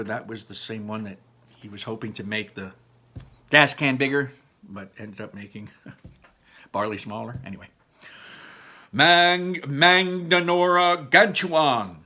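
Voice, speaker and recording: Male read-aloud storyteller, bright forceful voice, expressive, drops into chatty explanations for young listeners. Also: on the low side, husky. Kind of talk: animated children's book read-aloud with chatty asides.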